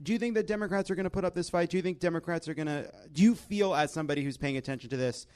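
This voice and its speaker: A man's voice: fairly high pitch, very fast, darkish tone, clear, resonant tone. A man hosting a comedic political panel, riffing in an exasperated, profane and theatrical way. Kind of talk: comedic panel banter and commentary.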